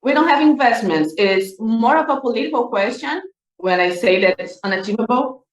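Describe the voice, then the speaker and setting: Brazilian woman, earnest, roomy distant sound. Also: mid-range voice. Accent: Brazilian Portuguese. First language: Portuguese. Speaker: student scientist. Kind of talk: panel discussion.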